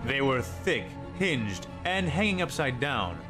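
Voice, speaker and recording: A man's voice: mid-range voice, very animated, slow. A man reading a scripted dramatic story as its narrator. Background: music.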